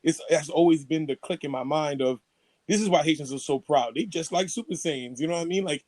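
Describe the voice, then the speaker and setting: A man's voice: fairly high pitch, brisk, a little mellow, no room sound. Playful, rambling young man, dry mic. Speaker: podcast co-host. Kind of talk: casual chat.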